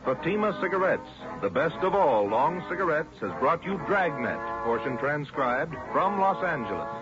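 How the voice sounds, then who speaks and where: A man's voice: fairly high pitch, sing-song, moderate pace, over loud music. A man reading a 1940s radio advertisement as an announcer, with emphatic salesman delivery. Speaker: commercial pitchman, radio announcer. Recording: dull vintage radio recording.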